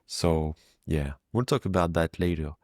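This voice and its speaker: Deep-voiced male podcast host. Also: unhurried, no room sound, clean studio sound. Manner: relaxed, rambling with fillers. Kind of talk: casual interview chat.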